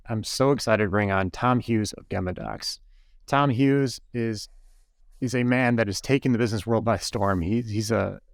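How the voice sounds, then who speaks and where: Low-voiced enthusiastic man hosting a podcast. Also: creaky, no echo, studio quality. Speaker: podcast host. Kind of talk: upbeat podcast hosting with promo reads.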